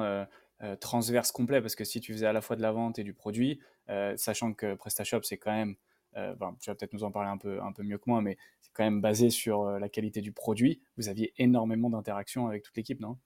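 A man's voice: fairly low pitch, level tone, brisk, darkish tone, dry recording. A man hosting a podcast, reading a scripted intro and then asking questions that trail off with fillers. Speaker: podcast host.

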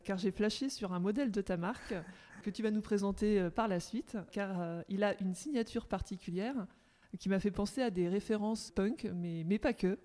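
Podcast host woman, mellow voice. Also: mid-range voice, moderate pace. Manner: scripted intro, then conversational with fillers. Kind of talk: podcast interview.

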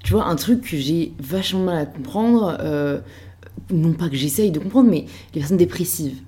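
A woman's voice: on the low side, animated, moderate pace, mellow. A woman hosting a podcast who asks her questions casually and hesitantly, with many fillers. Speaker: podcast host. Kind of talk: casual interview chat.

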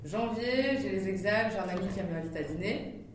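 A woman in her thirties, a startup founder, telling her story as a podcast guest in a lively way with frequent laughs. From Netherlands. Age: 30s. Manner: animated, laughs often, talks fast in bursts. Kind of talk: casual interview storytelling. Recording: echoey room, distant from the mic, faint rumble.

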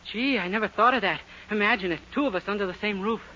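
An actor playing a naive, earnest, lovesick young boarder in a 1940s radio comedy. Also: male voice, sits high, fast talker, lightly acted. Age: young adult. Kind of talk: 1940s radio sitcom acting. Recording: noisy, muffled 1940s radio recording.

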